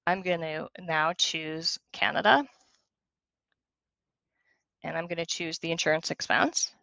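A woman demonstrating software, walking through each step in a conversational tutorial style. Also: deep voice, fairly even intonation, unhurried, gravelly, dry recording.

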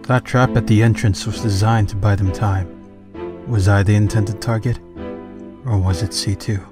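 A man's voice: on the low side, fairly even intonation, moderate pace, close to an everyday voice. A man playing an arrogant, cunning young rebel leader in a theatrical anime dub. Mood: cold, calculating. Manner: grandiose, theatrical. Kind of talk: anime fan dub acting. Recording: long reverb over loud music.

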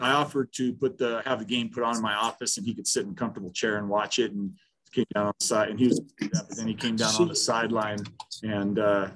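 Football head coach, 50s, low voice, blunt, stumbling restarts, video-call mic. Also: male voice, poor audio. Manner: blunt, halting, with many restarts and fillers. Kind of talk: post-game press conference. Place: small room in front of a sponsor backdrop.

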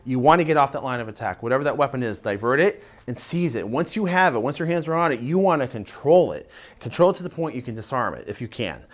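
A man's voice: mid-range voice, lively intonation, fast talker. A man in his thirties, a martial arts instructor, explaining self-defense to the camera in an earnest, emphatic way from a small indoor studio. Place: indoor dojo-style room with lamp and screens.

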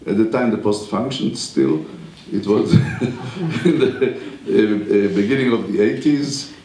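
A man's voice: fairly low pitch, lively intonation, dark tone, a little hiss or hum. Older man lecturing at a podium, Hebrew native. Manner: halting, many pauses and restarts. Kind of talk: university lecture. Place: wood-panelled lecture room. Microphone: podium mic. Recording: echoey lecture room.

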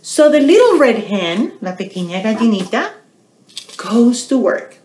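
Lively, unhurried woman storytime host, echoey room. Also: on the high side, a little hiss or hum. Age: middle-aged. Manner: deliberate, questioning, repeats phrases in two languages. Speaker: children's storytime host at a public library. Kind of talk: bilingual storytime teaching and narration for small children. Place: small quiet indoor room.

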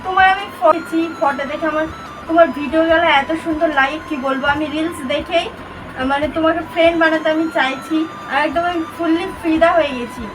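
Very high-pitched coaxing woman, distant mic. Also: fairly even intonation, a little fast. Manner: coaxing, pleading. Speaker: vlogger making a prank call. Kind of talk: casual flirtatious phone chat. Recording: distant mic with music behind.

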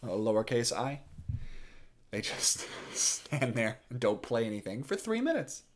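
A man's voice: mid-range voice, very animated, a little slow. Man acting a teasing young math geek. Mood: wry, friendly. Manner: conversational, teasing. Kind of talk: contemporary stage monologue.

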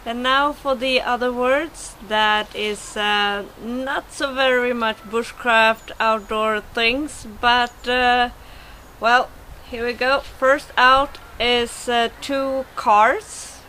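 A middle-aged woman with a Swedish accent, giving an informal word lesson to camera outdoors, speaking haltingly and pronouncing carefully. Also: on the high side, fairly even intonation, bright, forceful tone, slight background noise. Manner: slow, halting delivery with careful word-by-word pronunciation. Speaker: vlogger teaching Swedish words. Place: outdoors by a wooden fence in a garden.

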